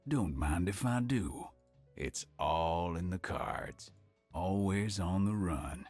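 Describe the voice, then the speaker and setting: A low, husky voice for a roguish card sharp in a video game. He talks smoothly and slyly, sure of himself, over a light bed of music. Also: slow pace. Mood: confident, teasing. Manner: smooth, sly, self-assured. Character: smooth-talking, confident, roguish male card-sharp gambler and cheat. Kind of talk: video game character voice lines.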